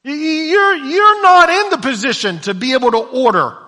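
A man preaching a sermon, dropping to hushed low lines and rising to loud shouted emphasis, often repeating words as he goes. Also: normal speed, big-room sound. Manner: repeats words when stumbling.

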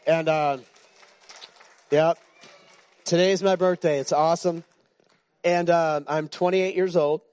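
A pastor in his sixties preaches in a loose, conversational way, joking with his flock and stumbling over restarts. His voice sits a little high for a man. The sound is dry and close, with almost no room echo. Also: fairly even intonation, slow pace, pauses often, a little mellow, clear, resonant tone. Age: 60s. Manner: chatty and joking, with frequent restarts. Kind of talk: informal sermon to a congregation. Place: church.

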